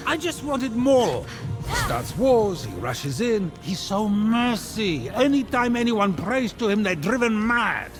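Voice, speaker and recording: A man's voice: sits high, big pitch swings, slow pace, over music, heavily acted. An actor playing a petty, vain, flippant father god who complains breezily and sarcastically in comic video game banter. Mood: griping, flippant.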